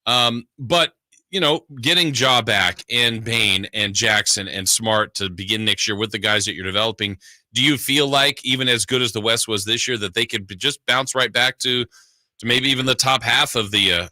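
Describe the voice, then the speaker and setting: A man's voice: lowish voice, normal speed, sharp tone, dry recording. A man co-hosting sports radio, asking questions in a casual, conversational way. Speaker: sports radio co-host, interviewer.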